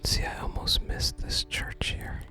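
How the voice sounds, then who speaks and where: A gaming ASMR host whispers softly and breathily, close to the microphone. The delivery is hushed storytelling, moving between game text and quiet commentary. Music plays clearly underneath the whisper. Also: measured pace. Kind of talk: whispered ASMR game playthrough, reading game dialogue aloud.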